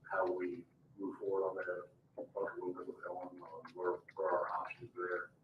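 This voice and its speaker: A man's voice: deep voice, completely flat delivery, normal speed, lo-fi sound. A man giving an informal development report at a public meeting, in an echoey room away from the mic. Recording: echoey room, distant mic, faint noise.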